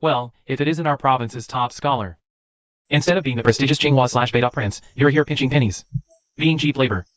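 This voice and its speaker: A man's voice: medium pitch, fairly quick, no echo, close to an everyday voice. A man playing an arrogant, rich young rival in a comic drama, mocking and haughty. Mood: sneering. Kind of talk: dubbed comic drama dialogue.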